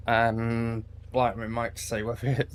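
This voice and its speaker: A man's voice: mid-range voice, flat delivery, measured pace, a little hiss or hum. A man casually thinking aloud while playing a racing game, hesitant and rambling. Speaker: gameplay streamer. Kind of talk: casual gaming commentary to himself.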